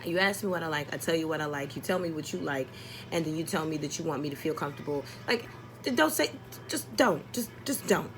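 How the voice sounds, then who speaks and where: A woman with a fairly low voice tells a personal story in a casual vlog style. Her delivery is expressive and comedic, with plenty of exasperation and swearing. There is some noise behind her voice. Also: a little fast. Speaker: vlogger telling a story.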